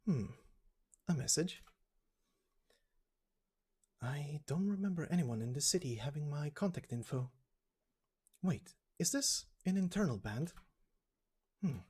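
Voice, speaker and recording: A man voicing a wary, serious woman in a game story, in a hushed, measured and terse way. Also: a little high, expressive, breathy edge. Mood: puzzled.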